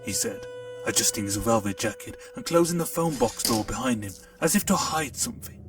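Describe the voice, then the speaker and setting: A man narrating a short audiobook in the first person with an expressive storytelling delivery. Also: medium pitch, brisk. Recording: distant mic, faint music.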